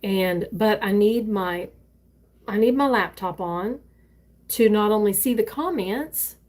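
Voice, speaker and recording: A woman's voice: mid-range voice, slow, a little mellow. Older woman, author reading aloud, casual live chat, rambling, lots of ums, tablet mic. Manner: rambling, hesitant, many fillers and restarts. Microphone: tablet used for live streaming.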